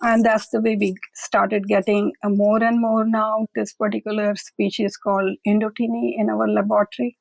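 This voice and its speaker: A woman's voice: mid-range voice, flat delivery, moderate pace, creaky, hardly any pauses, darkish tone, no room sound, rough recording. A woman scientist from a public health lab, explaining in a plain-spoken, matter-of-fact way during an interview.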